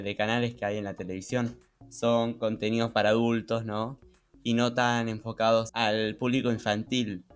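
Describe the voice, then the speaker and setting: A young man with a Rioplatense Spanish accent, co-hosting a casual podcast in a reflective, somewhat hesitant way, repeating words as he goes. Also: on the low side. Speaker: podcast co-host. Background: background music.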